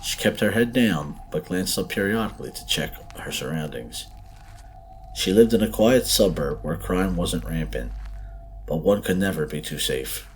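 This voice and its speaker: A man narrating a scripted horror story in a measured, theatrical, suspenseful way. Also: low voice, lively intonation, a little hiss or hum, low-quality recording. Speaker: podcast host and story narrator.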